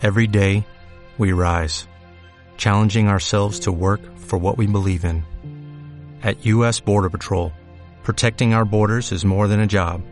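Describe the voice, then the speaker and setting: American male announcer, on the low side, for a scripted recruitment spot over light music. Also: steady tone, a little slow. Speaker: advertising announcer.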